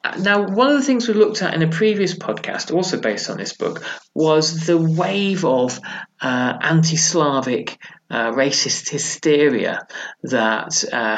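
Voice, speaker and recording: A man hosting a history podcast, explaining events unscripted as he thinks aloud, halting often and reading out book passages now and then. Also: mid-range voice, vocal fry, fairly bright tone. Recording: slightly dull lo-fi recording.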